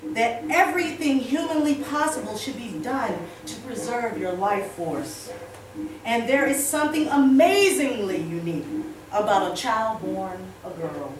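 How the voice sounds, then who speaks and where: A woman giving a dramatic spoken-word reading, expressive, deliberate and emphatic, with pauses for weight. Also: a little high. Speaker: poet reading to a workshop audience. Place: roomy indoor space, recorded at a distance. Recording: echoey room from far off, background noise.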